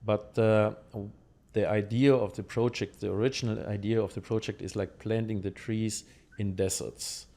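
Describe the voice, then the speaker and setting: Mellow, low-voiced German man. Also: steady tone, normal speed. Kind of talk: conversational explanation in an interview.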